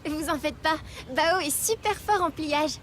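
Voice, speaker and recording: A woman's voice: high-pitched, unhurried, a bit bright, a little hiss or hum. A woman voicing a cheerful, easygoing young child in a French children's cartoon, with a friendly, natural manner. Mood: curious, easygoing.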